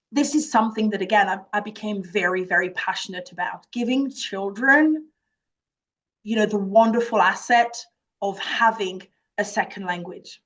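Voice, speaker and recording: A woman's voice: mid-range voice, fairly bright tone, low-quality recording. A woman from France with a French accent, earnestly presenting a children's language summer camp from her office. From France, Jura. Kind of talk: presentation to parents.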